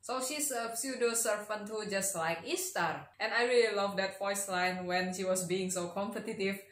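A woman's voice: mid-range voice, slow pace, reverberant. A young woman giving casual commentary as a reaction video host, speaking into a desk microphone indoors. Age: young adult. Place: small indoor room at a desk. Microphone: desk condenser mic on a boom arm.

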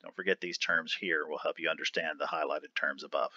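Man, educational read-aloud, deliberate emphasis, forceful bright tone, dry close sound. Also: a bass voice, steady tone, no room sound, muffled recording. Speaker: reader of an educational article. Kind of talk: read-aloud of an article transcript, announcing each speaker.

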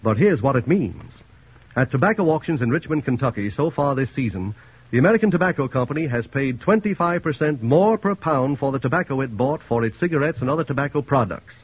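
A man announcing a 1940s radio sponsor pitch, earnest, persuasive and measured. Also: lively intonation, husky. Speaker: announcer reading sponsor message. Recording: dull, lo-fi 1940s radio broadcast.

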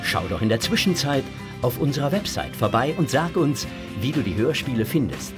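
Animated male children's story narrator. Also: mid-range voice, brisk, music in the background.

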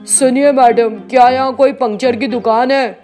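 A woman voicing an insistent male motorist in an animated story. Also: fairly high pitch, a little slow, faint music behind, natural voice. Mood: urgent, insistent. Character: man motorist asking for a puncture shop, urgent.

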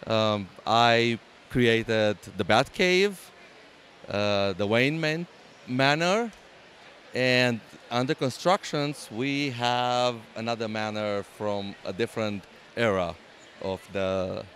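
A man's voice: mid-range voice, takes it slowly, a bit bright, a little hiss or hum. A man from Romania with a Romanian accent, talking hesitantly about his build, with many fillers and restarts, in a convention hall interview. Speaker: LEGO builder and exhibitor. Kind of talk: casual interview.

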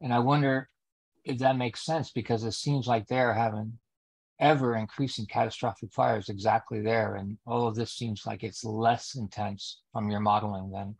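A man's voice: fairly low pitch, steady tone, normal speed, vocal fry, slightly rough. A man in the audience of an online meeting, asking a question in a casual way.

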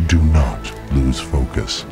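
An extremely deep-voiced, imperious computer from a game world, issuing terse and formal commands in an audio drama. Loud music runs behind the cold voice. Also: male voice, slow, heavily acted. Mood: cold, instructing.

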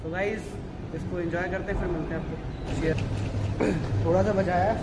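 A man's voice: high-pitched, steady tone, moderate pace, noisy background. A young man in his twenties vlogging casually in a large indoor gym, joking and teasing friends while holding a phone camera. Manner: joking, teasing, laughs often, calls out to friends. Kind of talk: casual gym vlog chat. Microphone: phone camera held at arm's length. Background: gym music.

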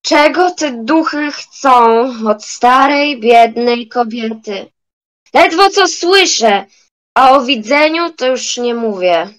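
A young woman playing an old grandmother in an amateur audio drama, in a halting, theatrical manner. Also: on the high side, lively intonation, fairly bright tone, low-quality recording. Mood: grumbling. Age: young adult. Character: grumpy old grandmother turned remorseful and joyful.

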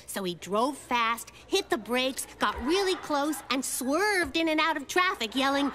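High, sensible cartoon little girl. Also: lively intonation, a little slow, pushed and bright, slight background noise, heavily acted. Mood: wry storytelling. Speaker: cartoon character. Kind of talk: children's cartoon acting.

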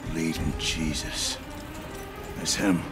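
An actor playing a hot-headed, tough British special forces sergeant in a game, blunt and combative, with a British accent. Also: male voice, extremely low pitch, steady tone, slow pace. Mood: tense, terse. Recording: loud music and vehicle noise behind.

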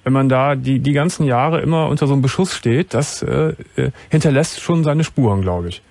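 A middle-aged man hosting a late-night radio call-in, asking gentle, probing questions with soft affirmations. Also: medium pitch, a little fast, vocal fry, dry recording. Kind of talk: calm empathetic phone-in talk. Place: radio studio. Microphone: studio mic, wearing headphones.

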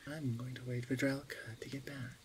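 A woman playing a teasing, smug older orc shaman sister in an ASMR roleplay, with a playful, drawn-out, self-assured delivery. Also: extremely low pitch, level tone, slight background noise. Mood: smug, languid. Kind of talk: ASMR roleplay audio drama.

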